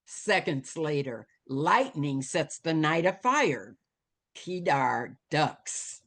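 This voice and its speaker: A woman with a lowish voice narrates a screenplay's stage directions at an unhurried pace. Her pitch moves expressively as she delivers scene headings and action in dramatic storytelling style. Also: expressive, fairly bright tone.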